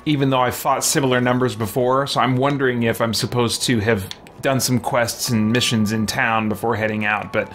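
A man's voice: mid-range voice, fairly even intonation, a little fast, few pauses, a bit bright, a little hiss or hum. A middle-aged man giving casual let's play commentary as a game streamer. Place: small room lined with shelves, indoors. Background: game music.

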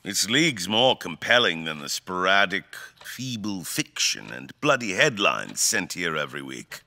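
Man acting a grave, deep-voiced fantasy king. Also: sing-song, vocal fry, pushed and bright, full character voice. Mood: wry, commanding. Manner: theatrical, deliberate, formal diction. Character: grave, imperious fantasy king, eloquent and brooding. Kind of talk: dramatic fantasy audio drama acting.

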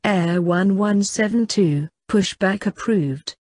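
A woman reading scripted air traffic control instructions in a measured, formulaic way. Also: mid-range voice, darkish tone, dry recording.

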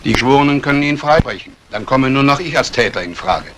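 An actor playing a scheming embezzler in a 1950s radio crime drama, calculating and menacingly polite. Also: male voice, mid-range voice, animated, fast, noisy background, lightly acted. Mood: coolly scheming. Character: cold, scheming embezzling banker plotting murder.

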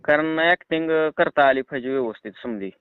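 Man, rural Marathi accent, fairly high clear voice, persuasive casual chat, tinny phone recording. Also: a little fast, dry recording. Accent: Marathi, rural Maharashtra. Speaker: prank caller. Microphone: phone line.

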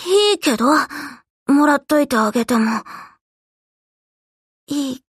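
A woman's voice: sits high, expressive, slow pace, no room sound, clean studio sound. A woman voicing a grudging, reserved young anime girl for a game, coolly reluctant in manner. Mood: grudging acceptance.

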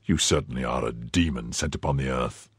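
Man playing a brooding nobleman, very deep voice, quiet, slow, menacing, husky. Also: no echo, studio quality, exaggerated voice. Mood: coldly menacing. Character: stern brooding male nobleman, cold and grave.

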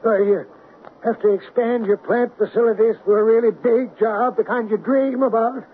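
A man's voice: very high-pitched, fairly even intonation, moderate pace, slightly rough. An actor playing a nervous, evasive male weapons contractor in a radio drama, flustered and defensive. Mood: bitter confessing. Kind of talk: 1970s radio drama acting. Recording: dull lo-fi 1970s radio broadcast, hissy.

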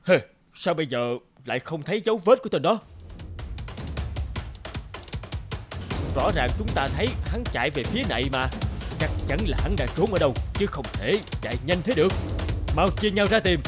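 An actor voicing a bossy, menacing bandit leader in an animated fairy tale, commanding and dramatic. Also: male voice, a little high, fast, music in the background, lightly acted. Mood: suspicious, commanding.

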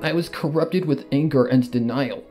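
Story narrator, solemn, dramatic, first-person fiction reading, pausing, background music. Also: medium pitch, dark tone. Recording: music under the voice.

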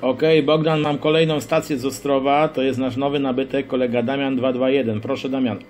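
A man's voice: mid-range voice, fairly even intonation, a little fast. A man leading a casual radio contact, introducing other operators and passing the microphone along. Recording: faint hum and radio noise.

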